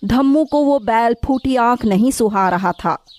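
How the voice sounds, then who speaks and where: A woman's voice: on the high side, a little slow, darkish tone. A woman narrator reading a scripted children's moral story in the manner of a storyteller. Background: background music.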